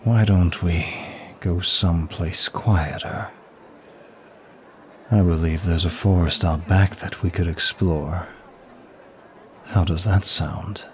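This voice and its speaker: Man acting a slow, deep-voiced seductive demon. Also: steady tone, slight background noise. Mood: seductive, aroused. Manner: slow, sultry, lingering delivery. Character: seductive, teasing, ancient male demon of lust. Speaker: voice actor in audio roleplay. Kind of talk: intimate ASMR roleplay acting addressed to listener.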